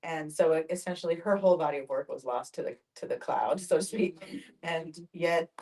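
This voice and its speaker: A woman's voice: low voice, normal speed, warm tone, recorded from a distance. A woman talking conversationally to a group, sharing a thought like a short story.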